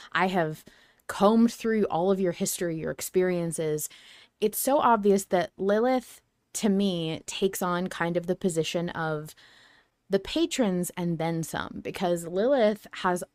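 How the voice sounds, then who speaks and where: Expressive woman podcast host, dry studio sound. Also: lowish voice, no room sound. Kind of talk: enthusiastic fan podcast chat.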